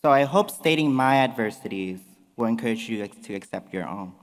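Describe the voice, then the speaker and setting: A man's voice: medium pitch, lively intonation, fairly quick. A young man giving an earnest, emotional graduation speech at a podium in a large hall. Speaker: graduating student speaker. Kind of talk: commencement speech. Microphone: podium mics. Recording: long hall reverb.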